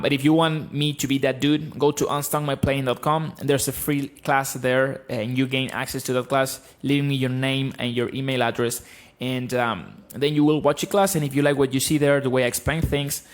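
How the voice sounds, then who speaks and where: A man in his thirties from Argentina, with an Argentine accent, giving a blunt, animated guitar lesson on a live stream from a small home room into a close stand mic. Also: medium pitch. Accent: Argentine Spanish. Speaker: guitar teacher. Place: small room with bookshelves, indoors. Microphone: studio mic on stand, close.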